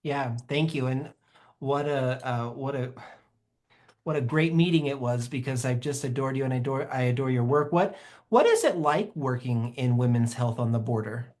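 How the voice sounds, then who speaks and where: A man's voice: mid-range voice, normal speed, darkish tone. A man hosting an online panel, earnest and effusive, often restarting his sentences. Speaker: host.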